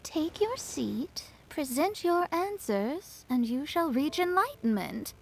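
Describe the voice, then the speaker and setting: A woman's voice: high-pitched, big pitch swings, slow, creaky, faint music behind, full character voice. A woman voicing a self-possessed, scholarly young astrologer in an anime game, composed and articulate. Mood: thoughtful.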